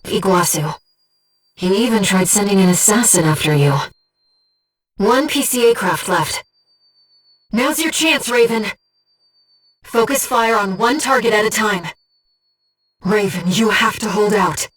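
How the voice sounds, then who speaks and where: A woman voicing a disembodied female guide who speaks in a pilot's head as mission operator in a video game, with a soft, breathy voice and a precise, earnest delivery. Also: medium pitch, a little slow, long pauses, distant mic, lightly acted. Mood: urgent, alert. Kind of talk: scripted video game voice lines, mission briefings and battle callouts.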